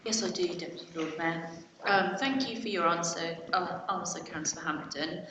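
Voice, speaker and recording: Woman councillor asking a question politely, low voice, super echoey and noisy. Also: fairly even intonation, normal speed, recorded from a distance. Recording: very echoey hall, noisy, from off mic.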